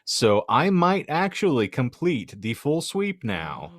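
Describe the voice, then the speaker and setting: A man's voice: mid-range voice, huge pitch swings, takes it slowly, no room sound, studio quality. A man co-hosting a casual comedy podcast, talking in a wry, wordy and irreverent way. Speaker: podcast co-host.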